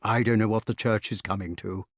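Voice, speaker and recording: A man's voice: lowish voice, steady tone, brisk, dry recording, clean studio sound. A man voicing a blustering, indignant old knight in a storybook, solemn and pompous in manner. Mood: disapproving.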